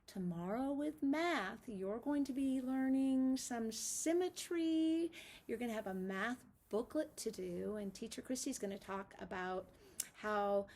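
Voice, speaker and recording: Female early childhood teacher: unhurried, fairly high voice with some fry, sing-song, speaking directly to little kids. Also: expressive, a little mellow. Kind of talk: read-aloud and lesson for young children.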